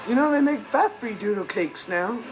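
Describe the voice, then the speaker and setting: A man's voice: very high-pitched, animated, normal speed, very noisy. An actor playing an earnest, awkward teenage boy with an American accent, delivering theatrical comedic monologues. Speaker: sitcom lead. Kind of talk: scripted comedic monologue to camera. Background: music.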